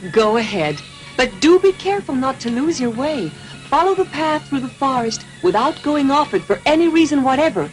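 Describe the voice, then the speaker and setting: High-voiced, motherly fairy godmother. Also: sing-song, normal speed, faint music behind, poor audio. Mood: gently warning. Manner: gentle, careful, reassuring. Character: kind, motherly, gently cautioning fairy godmother. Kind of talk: dubbed cartoon acting.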